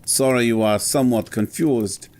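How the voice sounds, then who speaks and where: A man playing a brisk, haughty eighteenth-century French gentleman in an audio drama, formal, wry and insistent. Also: mid-range voice, lightly acted. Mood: wry, suspicious.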